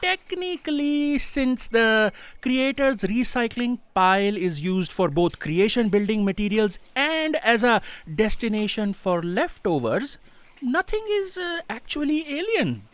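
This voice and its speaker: Pedantic, animated assistant. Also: female voice, fairly high pitch, measured pace, no room sound. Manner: pedantic, explanatory. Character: pedantic, philosophical, fussy assistant. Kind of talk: audio drama comedy acting.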